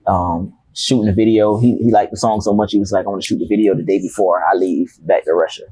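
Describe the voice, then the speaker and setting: A man's voice: low-pitched, fairly even intonation, quick pace, dark tone, husky, rough recording. A young man, a rapper telling stories in a casual interview, laid-back and slangy. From Miami, Florida. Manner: laid-back, slangy, loose storytelling with profanity. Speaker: rapper guest.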